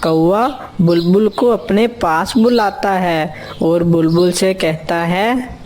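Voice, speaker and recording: A woman's voice: fairly low pitch, takes it slowly, darkish tone. A woman narrating a scripted children's story.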